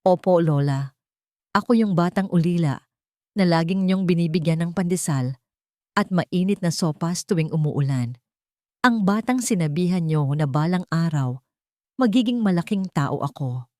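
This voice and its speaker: A woman plays a powerful, wealthy man in an audio drama. He speaks firmly and deliberately, in a low register for a woman, with a dry sound. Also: lively intonation, darkish tone, no echo, natural voice. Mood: tender. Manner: firm, cold, deliberate. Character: cold, commanding wealthy businessman avenging an old woman.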